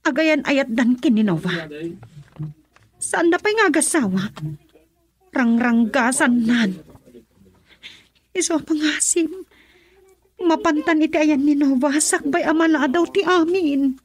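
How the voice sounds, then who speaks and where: A woman's voice: high-pitched, expressive, measured pace, long pauses. An actress playing a distressed young woman in a radio drama, confessing and pleading urgently. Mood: pitying, urgent.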